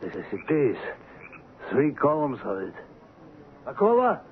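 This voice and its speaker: An actor playing a pompous, callous ivory station agent with an imperious, scornful manner in a 1970s radio drama. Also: male voice, fairly high pitch, wildly swooping pitch, measured pace, heavily acted. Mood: weary, irritable. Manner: imperious, scornful, pulling rank. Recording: noisy, tinny 1970s radio broadcast.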